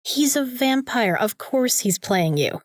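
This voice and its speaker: A woman's voice: fairly high pitch, expressive, measured pace, no echo, clean studio sound. A young woman acting in an audio drama as a bossy, sarcastic student who talks in an opinionated, emphatic way. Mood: scolding, sarcastic. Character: bossy, sarcastic young female student, vampire slayer's sidekick.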